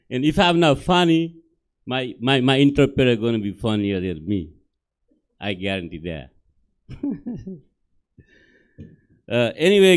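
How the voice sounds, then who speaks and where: A man's voice: mid-range voice, smooth. A middle-aged man from Nepal with a Nepali accent, doing a stand-up set on a comedy theater stage in simple, broken English and keeps checking in with the crowd.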